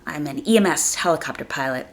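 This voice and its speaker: A woman hosting a casual motivational podcast, speaking conversationally and earnestly, slightly raspy from a chest cold. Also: deep voice, fast talker. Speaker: podcast host.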